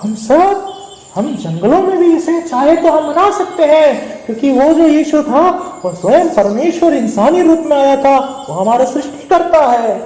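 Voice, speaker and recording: A man's voice: very high-pitched, expressive, unhurried, mellow. A man voicing a small, talkative, cheeky monkey who eagerly explains things in a puppet show. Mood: earnest. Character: small cheeky talkative male monkey, wary but helpful. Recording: echoey hall with background noise.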